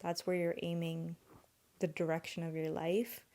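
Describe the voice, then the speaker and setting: Young woman, native Urdu speaker, solo podcast host; low, warm, mellow voice, earnest and rambling. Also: flat delivery, moderate pace. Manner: earnest, rambling, thinks aloud with many "like"s.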